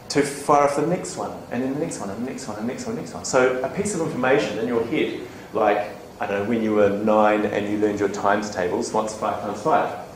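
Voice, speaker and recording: A man presenting at a conference to a room of educators, talking in a chatty, animated way and checking in with the audience with quick "okay?" and "right?" tags. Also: medium pitch. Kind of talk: live conference talk to educators. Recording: echoey conference room, faint ambient noise.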